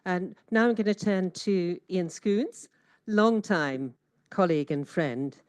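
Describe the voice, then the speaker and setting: A woman's voice: lowish voice, takes it slowly, a little mellow, clean tone, no room sound. A woman moderating a webinar panel on a video call, thanking speakers and handing over graciously. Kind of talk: chairing a webinar.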